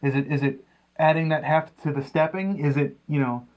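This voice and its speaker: Higher-voiced man, halting, on lo-fi recording. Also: fairly even intonation. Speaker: co-host of a comics review show. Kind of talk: casual conversational review. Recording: dull, lo-fi sound.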